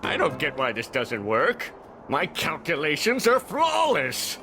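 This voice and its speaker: A tiny cartoon villain inventor, pompous and scheming. The voice is forceful and theatrical, swinging widely in pitch. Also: male voice, wildly swooping pitch, a little slow, soft background music, exaggerated voice. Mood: irritated, proud. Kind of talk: cartoon game acting.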